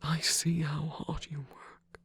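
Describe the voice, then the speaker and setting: A performer playing a tender villain comforting a lover in an intimate ASMR roleplay, often whispering in a gentle, coaxing way close to the microphone. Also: medium pitch, flat delivery, slow pace, no echo, exaggerated voice. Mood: tender, earnest. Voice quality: breathy, husky, often whispering.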